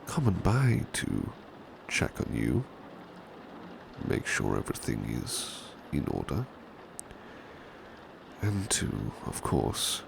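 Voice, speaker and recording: A man playing a devoted, gentle British butler in a soft-spoken ASMR roleplay, courteous and formal, often slipping into a breathy whisper. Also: heavily acted. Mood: gentle, attentive. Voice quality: very deep, gravelly, breathy whispery edge. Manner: gentle, courteous, old-fashioned formal phrasing. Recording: clear background noise, ambient rain sound. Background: rain ambience.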